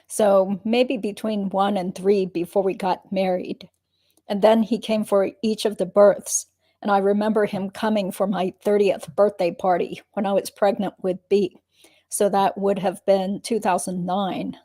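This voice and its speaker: A woman playing a guarded, matter-of-fact witness giving measured answers in a dramatic reading of a deposition. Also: medium pitch, flat delivery, mellow, natural voice. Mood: guarded, terse.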